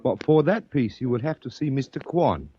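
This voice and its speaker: An actor playing a polite, deferential young Chinese shop clerk in 1940s radio style, formal and courteous in manner. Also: male voice, mid-range voice, lively intonation, normal speed, warm tone, natural voice. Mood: deferential, guarded. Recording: old narrow-band radio recording, faint hiss.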